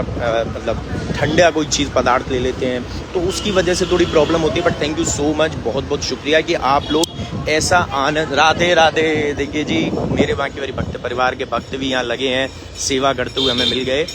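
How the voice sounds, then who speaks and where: A man's voice: medium pitch, very noisy. A man with a sore throat, hosting a live walking devotional stream and earnestly urging viewers in a conversational way.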